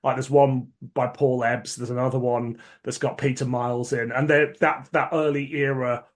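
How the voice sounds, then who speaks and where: Guy hosting a podcast, just chatting, informal, opinionated, bit sweary, normal mid pitch. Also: flat delivery, moderate pace. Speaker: podcast host. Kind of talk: casual chat about cult sci-fi dramas.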